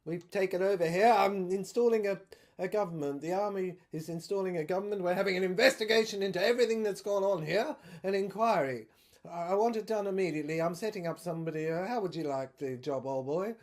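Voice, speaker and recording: A woman's voice: fairly low pitch, quick pace, a little mellow, close to an everyday voice. A woman acting out a blustering, ruthless male army general in a serial audio drama, barking orders in a hearty old-boy manner. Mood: brisk, domineering. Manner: clipped commands, hearty "old boy" address.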